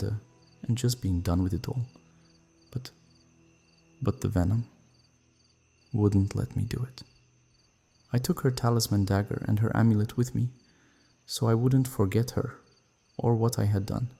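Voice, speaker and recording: An actor playing a guilt-ridden, grieving vampire in an audio roleplay, speaking in a halting, sorrowful, confessional way. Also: male voice, on the low side, creaky, dark tone. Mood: haunted, grieving. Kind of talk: dramatic audio roleplay acting.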